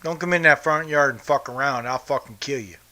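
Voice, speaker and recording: A man's voice: mid-range voice, a little fast, bright, forceful tone. A man hosting a podcast, from the United States, ranting bluntly and profanely about politics. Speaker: podcast host. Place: home in Brazil.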